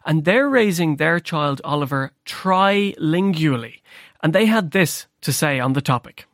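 Measured radio reporter, dry sound. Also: male voice, a little high, lively intonation, a bit bright, no echo. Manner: measured, informative. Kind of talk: radio studio chat. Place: radio studio.

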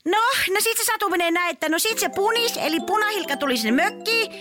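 A woman's voice: extremely high pitch, animated, music in the background. A woman voicing a cheeky, bratty little kid who mocks and interrupts a fairy tale in slangy speech for children's comedy. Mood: smug, cheeky.

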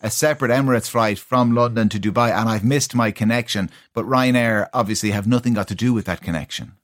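A man's voice: fairly low pitch, brisk, no echo, clean studio sound. An Irish man presenting on radio, putting conversational questions to a guest in a news interview.

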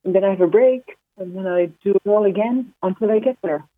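A woman's voice: medium pitch, fairly quick, no room sound. A woman from the Netherlands, a walking pilgrim, talking in a phone interview with many fillers and restarts. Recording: tinny phone line.

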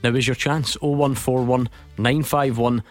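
A man hosting a sports phone-in on the radio, teasing and easygoing. His pitch sits in a middling range, and his delivery stays wry and conversational as he reads out numbers and brings in callers. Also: steady tone, normal speed, faint music behind. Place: radio studio.

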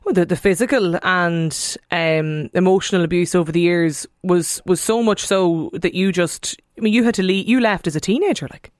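A woman's voice: on the low side, moderate pace, few pauses, dry recording, studio quality. A woman conducting a conversational radio interview, phrasing her questions tentatively and sympathetically.

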